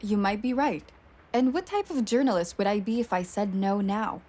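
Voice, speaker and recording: A woman's voice: medium pitch, moderate pace, a little mellow, natural voice. A woman voicing an earnest, probing journalist in a video game. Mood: curious, eager. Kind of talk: video game dialogue acting.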